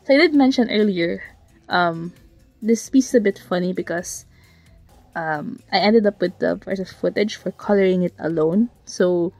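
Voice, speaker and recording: Woman artist, bit hoarse, rambly speedpaint voiceover, dry close sound, faint music. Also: mid-range voice, a little mellow, no room sound. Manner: rambling, frequent fillers and self-corrections. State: slightly hoarse. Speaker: digital artist narrating a speedpaint. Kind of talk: casual voiceover commentary. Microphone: home voiceover mic.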